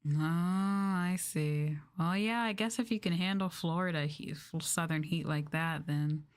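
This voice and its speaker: A woman with an American accent talking softly and casually as an ASMR streamer, gently and unhurriedly replying to her chat. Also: on the low side, unhurried. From United States.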